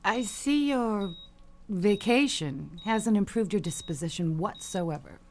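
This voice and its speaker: A woman playing an elderly, dying perfume matriarch in an audio suspense drama, genteel, measured and sly, with cutting endearments. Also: mid-range voice, animated, a little mellow, slight background noise. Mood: coolly needling.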